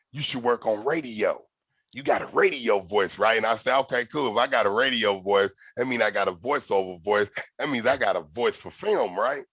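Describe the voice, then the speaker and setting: Animated low-voiced male podcast host. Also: dry recording. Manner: animated, profane storytelling with slang. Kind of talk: casual podcast conversation.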